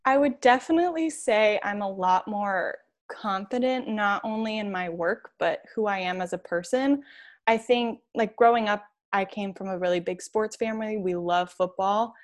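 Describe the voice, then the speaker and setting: A woman's voice: mid-range voice, moderate pace. A woman in her twenties from New York, answering interview questions in a chatty, enthusiastic way as a podcast guest. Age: 20s.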